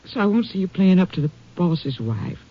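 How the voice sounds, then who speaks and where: An actress playing a frightened ranch wife in a 1950s radio drama, recounting events anxiously. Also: female voice, lowish voice, sing-song, moderate pace, breathy edge. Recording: dull lo-fi old radio broadcast.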